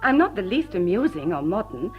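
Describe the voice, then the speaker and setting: An actress playing a gracious, charming society hostess in a period stage comedy, speaking politely and coaxingly with refined manners. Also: female voice, mid-range voice, big pitch swings, a little fast, dull audio, faint music behind, close to an everyday voice. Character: gracious, charming society widow hosting guests, self-deprecating.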